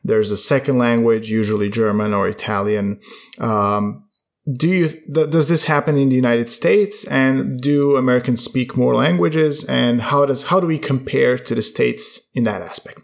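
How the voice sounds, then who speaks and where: Gravelly Croatian host asking questions. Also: male voice, mid-range voice, normal speed. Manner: asks short questions, many "mm-hmm". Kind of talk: interviewing.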